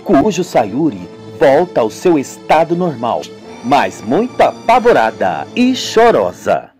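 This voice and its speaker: A woman's voice: deep voice, big pitch swings, slow, darkish tone. A woman narrating a radio soap opera in a dramatic, scripted storytelling style. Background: music.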